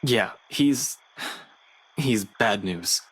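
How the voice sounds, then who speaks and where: A visual novel game character: a troubled young man with a rough, husky voice. He is earnest and grave, choosing his words hesitantly. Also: lowish voice, animated, very slow, fairly bright tone, exaggerated voice, rough recording. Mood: hesitant, regretful.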